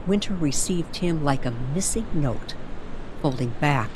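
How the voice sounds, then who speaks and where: A woman narrating a scripted story in an old-time radio style, with deliberate, hushed storytelling phrasing. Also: low voice, animated, noisy background. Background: music bed.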